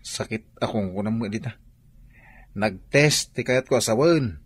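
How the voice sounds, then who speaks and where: A man acting a bossy, protective, testy employer in an Ilocano-accented radio drama, with a husky voice. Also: fairly low pitch, normal speed. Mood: dismissive.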